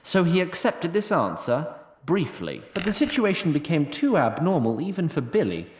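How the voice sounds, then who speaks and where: A man's voice: on the high side, very animated, moderate pace. A man reading scripted fantasy narration with a wry, literary, storytelling manner. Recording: roomy sound, faint background noise.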